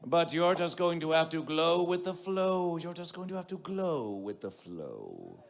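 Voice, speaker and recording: Man, festival emcee, grandiose mock-prophet delivery, slow and drawn-out, gravelly edge, live stage sound. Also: a little high, sing-song.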